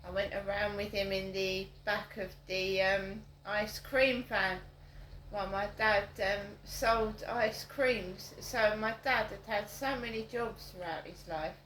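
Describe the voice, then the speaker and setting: A middle-aged woman talks in an unhurried, wandering way, trailing off and starting over with plenty of ums. Her voice carries a roomy echo, with a faint hum underneath. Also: mid-range voice, fairly even intonation, fairly bright tone. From South East London. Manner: slow, meandering, with frequent ums and restarts. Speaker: vlogger. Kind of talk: casual rambling vlog monologue. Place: outdoors on a walk with a dog.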